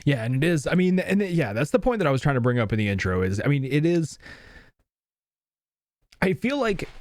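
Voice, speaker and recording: A man's voice: fairly high pitch, big pitch swings, fairly quick, a little mellow, dry recording. A man co-hosting a movie podcast, talking things through in a reflective, hedging way. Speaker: podcast co-host. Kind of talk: casual movie podcast chat.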